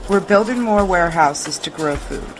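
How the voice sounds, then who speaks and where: An actress playing a brisk camp overseer who is eager to please her boss in an audio drama. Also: on the low side, animated, soft background music, close to an everyday voice, low-quality recording. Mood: businesslike.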